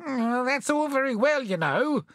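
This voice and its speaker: Timid peevish constable, whiny and high, storybook; man reading. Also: lively intonation, a little slow, a bit bright, no room sound, studio quality, exaggerated voice. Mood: fearful. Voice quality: whiny, nervous.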